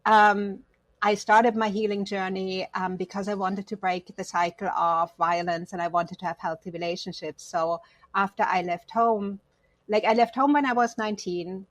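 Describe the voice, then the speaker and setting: A woman's voice: mid-range voice, steady tone, normal speed, no echo. A woman from Germany, not an English speaker, speaking reflectively about personal matters as an interview guest. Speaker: podcast guest, counselor.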